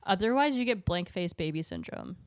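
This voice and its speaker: A woman's voice: fairly low pitch, sing-song, fairly quick, no room sound, studio quality. A woman co-hosting a podcast, chatting casually in a giggly, talkative way. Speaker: podcast co-host. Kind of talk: casual chat.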